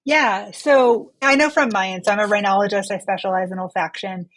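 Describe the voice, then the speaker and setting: A woman's voice: medium pitch, fairly even intonation, a little fast, a bit bright, dry recording, poor audio. A woman physician being interviewed as a study author, explaining research in a conversational way and posing questions she then answers.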